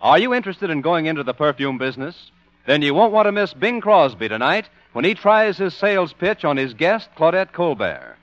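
A man's voice: fairly high pitch, very animated, fairly quick, fairly bright tone, no echo, dull audio. A man announcing in a scripted 1950s radio style, as heard on an old broadcast recording.

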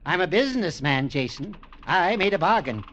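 An actor playing a cold, taunting, middle-aged businessman uncle in an old radio drama, with a sneering, deliberate delivery. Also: high-pitched, very animated, fairly bright tone, a little hiss or hum. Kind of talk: 1940s-50s radio drama acting. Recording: dull vintage radio recording.

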